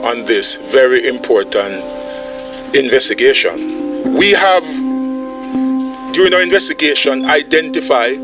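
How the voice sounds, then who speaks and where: A man's voice: mid-range voice, a little slow, pauses often. A man giving a formal press briefing as a police official. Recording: music behind the voice.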